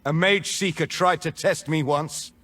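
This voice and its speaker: A man playing a vengeful escaped mage prisoner who leads a rebellion, with dramatic, deliberate video game delivery and a rough, husky voice. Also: on the high side, fairly even intonation, a bit bright. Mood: menacing. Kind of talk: theatrical video game voice lines.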